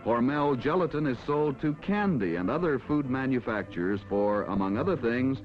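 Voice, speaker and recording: American film narrator, lively pitch, vintage sound. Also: male voice, lo-fi sound. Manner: formal, measured announcer delivery. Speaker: narrator of an industrial educational film. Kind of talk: scripted narration, mid-century educational film. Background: background music.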